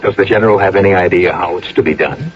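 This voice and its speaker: A man's voice: low voice, fast talker, lightly acted. An actor playing an earnest, intelligent major in a radio drama, deferential to his general, formal and a little hesitant. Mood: concerned, questioning. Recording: dull, noisy old radio recording.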